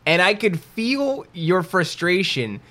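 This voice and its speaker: A man co-hosting a casual podcast, rattling on in a chatty, animated way and poking fun at himself. His voice sits a little on the high side, and he gets loud when he is worked up. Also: slow pace. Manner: chatty, self-deprecating, loud and animated at times. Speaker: podcast co-host.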